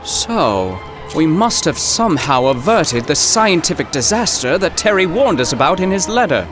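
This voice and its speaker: A man plays a high-voiced, swooping professor from another era, fussy and excitable. His diction is formal and pompous, in the manner of a period radio comedy, with music clearly playing underneath. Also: moderate pace. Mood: earnest. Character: fussy, excitable, easily flustered male professor from the 1930s. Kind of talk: period audio drama comedy acting.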